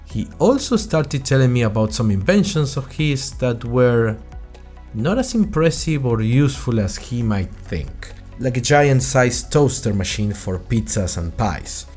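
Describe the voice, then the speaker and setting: A man's voice: medium pitch, darkish tone, natural voice. A man playing an earnest young college student who tells his story in a wry, conversational way, as host of an audio drama diary. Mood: wry, chatty. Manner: conversational, wry, addresses listeners directly. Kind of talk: scripted first-person audio drama narration.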